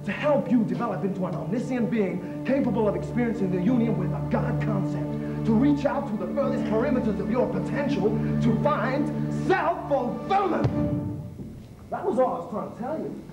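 Rich: A man doing a slick salesman full of flattering patter, in a raised voice. He sounds far from the mic in an echoey space, with music behind. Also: extremely high pitch, normal speed. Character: smooth-talking male door-to-door salesman.

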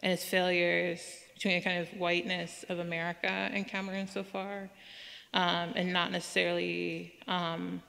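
A woman in her thirties speaks at a podium, answering questions in a measured, hedging way full of restarts and fillers. Her voice sits low with a gravelly fry and little melody. The sound rings out in a large hall. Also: a bit bright. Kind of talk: academic Q&A, thoughtful and hedging. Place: large museum auditorium, at a podium. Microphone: podium microphone. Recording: reverberant hall.

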